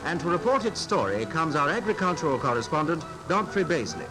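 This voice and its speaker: A man's voice: fairly high pitch, big pitch swings, a little fast, over music, rough recording. A British man announcing in formal, declamatory 1950s newsreel style, reading a script. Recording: 1950s archive newsreel soundtrack.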